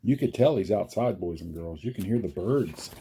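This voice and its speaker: A man reading a children's storybook aloud with dramatic, deliberate delivery. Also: low-pitched, expressive, warm tone, breathy edge. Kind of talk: expressive children's read-aloud. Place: outdoors.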